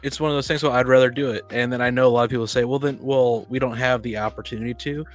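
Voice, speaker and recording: Man, casual discussion, blunt, opinionated, halting delivery, soft music in background. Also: medium pitch, fairly even intonation. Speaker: podcast panelist. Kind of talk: casual opinionated discussion of tabletop roleplaying games.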